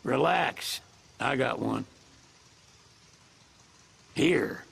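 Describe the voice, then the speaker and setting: Slow, gravelly old boatman. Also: medium pitch, animated, a bit bright, heavily acted. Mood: wry, calm. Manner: easygoing, folksy, terse. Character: laid-back, folksy, weathered older boatman. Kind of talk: video game cutscene acting.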